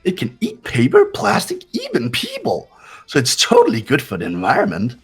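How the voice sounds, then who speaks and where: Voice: medium pitch, wildly swooping pitch, moderate pace, slightly rough, soft background music, heavily acted. A performer voicing a gleeful, sinister robot bear mascot in a fan-voiced game, taunting in a mock-cute way. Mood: smug salesman.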